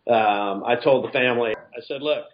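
A man's voice: mid-range voice, normal speed, muffled recording. A man, chatting in a laid-back way as a podcast guest. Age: 50s. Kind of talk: casual storytelling.

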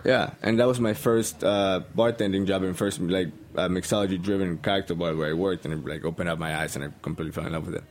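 A man's voice: deep voice, fairly even intonation, quick pace, hardly any pauses, a little hiss or hum. A young man from Colombia with a Colombian Spanish accent, a bartender guest chatting hesitantly with many ums. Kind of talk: casual interview chat.

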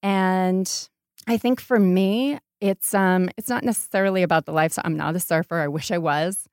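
Female podcast guest, American, lower register, thoughtful and emphatic, conversational, very dry recording. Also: moderate pace, darkish tone, clear, resonant tone, no room sound. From Maine, United States. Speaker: podcast guest, startup entrepreneur. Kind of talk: conversational interview answers.

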